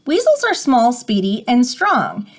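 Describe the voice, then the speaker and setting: A woman's voice: a little high, huge pitch swings, measured pace. A woman reading an educational picture book aloud as a teacher, explaining and prompting listeners as she goes. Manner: explanatory, stops to define words and prompt listeners.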